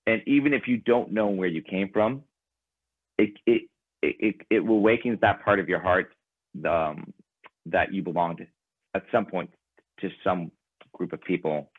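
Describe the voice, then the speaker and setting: Deep-voiced man on a tinny line. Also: a little slow, pauses often, slightly rough, dry recording. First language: Ukrainian. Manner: thoughtful, rambling with fillers. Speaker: band member guest. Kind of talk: casual interview conversation.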